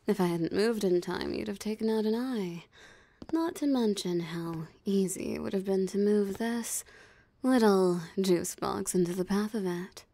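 A woman's voice: mid-range voice, unhurried, warm tone, dry recording. A woman voicing a possessive, mocking female vampire in an ASMR roleplay, with a teasing, theatrically smug drawl. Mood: mocking, amused. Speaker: voice actor. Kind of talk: ASMR roleplay audio drama.

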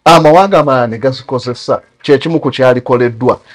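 A man's voice: medium pitch, rapid-fire. A man with a Ugandan accent giving critical talk show commentary, mixing English terms into his speech.

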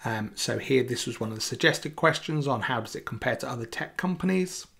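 Creaky-voiced man presenting a tech demo. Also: mid-range voice, animated, brisk, fairly bright tone. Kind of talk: casual software demo narration.

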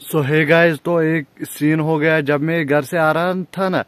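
A young man talks casually to his vlog audience. He is chatty and often repeats phrases for emphasis. The phone recording, made at arm's length outdoors, has clear background noise. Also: a little high, level tone, slow pace, fairly bright tone. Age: 20s. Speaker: vlogger. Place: outdoors on village roads, paths and by water. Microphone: handheld phone at arm's length.

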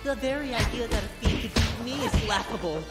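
An actor voicing a small, arrogant winged villain in a video game, boastful and shouting. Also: mid-range voice, a little fast, lightly acted. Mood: gloating. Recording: echoey, loud music over battle.

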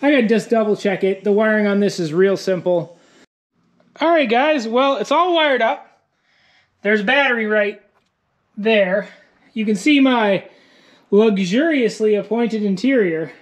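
A man's voice: high voice, animated, measured pace, long pauses. Guy in his 30s fixing his truck in a messy garage, dry self-mocking jokes, voice kinda worn out, camera on his head. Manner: wry, self-mocking, muttering to himself while working. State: losing his voice. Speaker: vlogger working on his truck. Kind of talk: casual workshop vlog. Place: cluttered wooden garage workshop.

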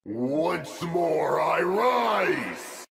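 An actor playing a tormented undead male warrior for a video game, crying out in drawn-out anguish. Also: fairly high pitch, lively intonation, vocal fry, very noisy, heavily acted. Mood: anguished, defiant. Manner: drawn-out, anguished battle cries. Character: tormented undead male warrior, rising again and begging death. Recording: echoey hall with loud music.

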